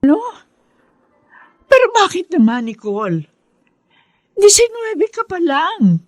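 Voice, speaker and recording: Poor mother of five: tender, worried, highly expressive radio serial performance. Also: slow, no echo, clean studio sound, heavily acted. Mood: alarmed. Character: loving, anxious, struggling mother of five. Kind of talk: emotional radio drama acting.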